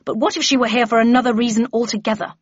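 A woman's voice: on the high side, steady tone, racing, breathy edge, no room sound, dull audio, pitched above the performer's own voice. A woman voicing an arrogant, hostile middle-aged man who objects in a challenging, indignant way, in an audiobook story. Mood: protesting.

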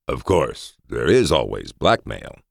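Audiobook role: teasing scout, played by a male narrator, very low, gravelly, understated and needling. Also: huge pitch swings, unhurried, no echo, studio quality, heavily acted. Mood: wry. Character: wry, teasing male scout, loyal old friend. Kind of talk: audiobook character voice.

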